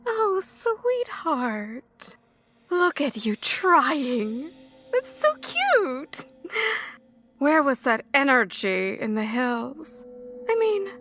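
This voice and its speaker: Ancient snake monster woman, sickly sweet n taunting, super lively pitch swings, audio drama villain. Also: slight background noise, heavily acted. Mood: mock-sweet taunting. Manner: taunting, sing-song mock-sweet, drawn-out words. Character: ancient female serpent monster, posing as seductive, mocking woman.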